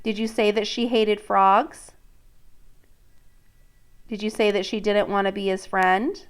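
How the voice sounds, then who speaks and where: A woman teacher reading a children's story aloud in an expressive, deliberate way, pausing to prompt and question young listeners. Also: medium pitch, clear, resonant tone.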